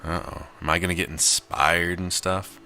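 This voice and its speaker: Very deep-voiced man narrating a game. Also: little pitch movement, moderate pace, bright, forceful tone, faint music behind. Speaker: video game player and narrator. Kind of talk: visual novel read-aloud with casual gameplay commentary.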